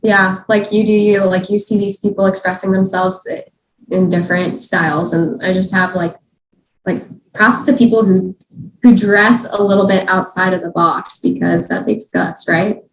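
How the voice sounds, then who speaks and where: A woman's voice: mid-range voice, steady tone, moderate pace. A woman from the Czech Republic, a podcast guest, chatting in an upbeat, agreeable way. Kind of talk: casual chat. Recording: echoey room.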